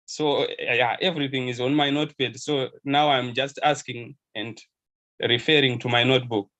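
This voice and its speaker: A man's voice: medium pitch, fairly even intonation, moderate pace, lo-fi sound. Earnest young man from Zimbabwe. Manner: earnest, hesitant, laughs softly. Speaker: webinar attendee, pharmacy intern. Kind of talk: casual video call conversation. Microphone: video call.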